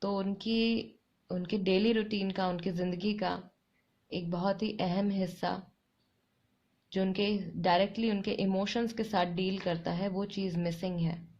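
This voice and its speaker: A woman with an Indian accent giving a tarot reading to her viewers, her throat a little hoarse, speaking in an unhurried, reflective way and repeating phrases for emphasis. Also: mid-range voice, steady tone, a little mellow, lo-fi sound. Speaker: tarot reader.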